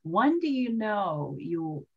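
A woman moderating a workshop on a video call, asking thoughtful, drawn-out questions. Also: mid-range voice, big pitch swings, husky, muffled recording.

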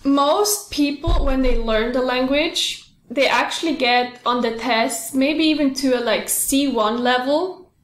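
A woman's voice: high-pitched, a little slow, few pauses. A young woman hosting a podcast interview over a video call, asking questions with brief encouraging replies, on earphone mic at home. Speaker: podcast host. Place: home room. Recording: roomy video call audio.

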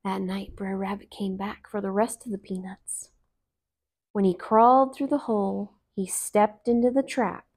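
Slow, expressive woman reading a storybook. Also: mid-range voice. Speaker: storybook reader. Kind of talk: children's read-aloud narration. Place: small living room, indoors on a couch.

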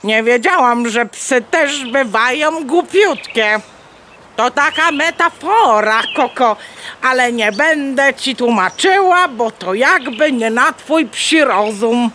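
Bossy scheming hen, high-pitched, very lively intonation, clucking interjections, children's radio play. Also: female voice, slow pace, slight background noise, exaggerated voice. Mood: condescending. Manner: clucks between words, declamatory. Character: bossy, clever mother hen, persuasive schemer. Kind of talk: children's audio drama acting.

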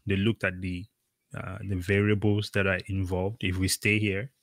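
Middle-aged male host, deep-ish, dry close sound, loose and emphatic with fillers. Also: little pitch movement, moderate pace, a bit bright, no room sound. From Cameroon. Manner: rambling, emphatic, many fillers. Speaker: podcast host. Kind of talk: casual conversational interview. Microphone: video call.

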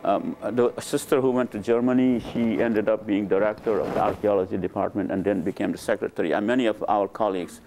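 An older man from Nepal, a native Nepali speaker, recounting his life in a relaxed, hesitant way with many fillers, on a lapel mic in a lecture room. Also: on the low side, fast talker, few pauses, slight background noise. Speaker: interviewed scientist. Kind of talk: relaxed conversational storytelling. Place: lecture room with a small audience.

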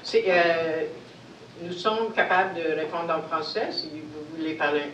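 A woman moderating a panel discussion, brisk and plain-spoken as she invites questions from the audience. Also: low voice, steady tone, a bit bright, lo-fi sound, a little hiss or hum. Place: university lecture room. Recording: echoey hall from far off.

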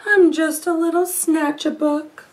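A woman's voice: high voice, steady tone, a little slow, pitched above the performer's own voice. A woman voicing a sad little book-snatching creature. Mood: mournful. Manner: mournful, pleading.

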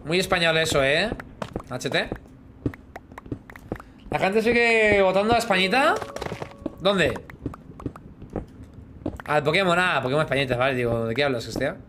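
A man's voice: a little high, expressive, measured pace, pauses often, noisy background. Joking Spanish man streaming a game. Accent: Spain Spanish. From Spain. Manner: joking, chats with viewers while playing, hums sound effects. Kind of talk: casual live gaming chat. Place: small room indoors. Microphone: headset.

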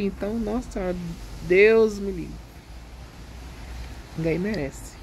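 Brazilian man, middle-aged, high creaky voice, casual colloquial vlog chat, expressive, recorded at home with faint background noise. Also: low-quality recording. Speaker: home vlogger. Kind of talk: casual chatty vlog talk.

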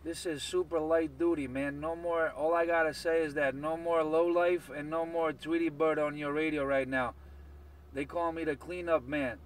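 A man's voice: on the high side, fairly even intonation, moderate pace. A man bantering on CB radio in a repetitive, chanting way, repeating catchphrases over a radio transmission. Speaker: CB radio operator. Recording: noisy CB radio, distant mic.

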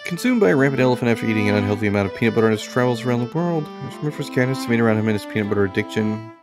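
A man's voice: mid-range voice, lively intonation, rapid-fire. A man giving casual, understated let's play commentary, musing aloud as he plays. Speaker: gaming video host. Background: game music behind the voice.

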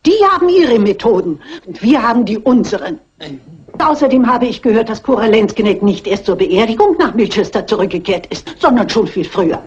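An actress playing a stubborn, shrewd elderly lady sleuth in a dubbed crime film, husky and briskly dignified. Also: on the high side, lively intonation, darkish tone, close to an everyday voice. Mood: brisk, determined. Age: older. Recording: dull old film soundtrack.

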